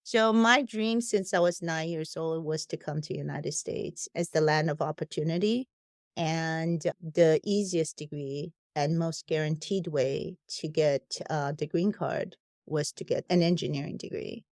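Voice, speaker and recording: A woman's voice: low-pitched, unhurried, a little mellow, no echo, studio quality. A woman with a non-native English accent, a company founder speaking frankly and laughing easily as a podcast guest. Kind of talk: casual podcast conversation.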